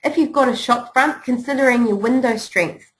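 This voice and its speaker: A woman's voice: on the high side, moderate pace, poor audio. A woman with a New Zealand accent presenting a business webinar in a chatty, encouraging way, with frequent "you know" and "um" fillers. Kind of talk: business preparedness webinar talk.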